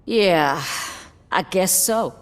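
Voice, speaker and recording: A woman's voice: fairly low pitch, expressive, extremely slow. A woman playing a tough but shaken police officer in a video game, plain-spoken and guarded. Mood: shaken, worried.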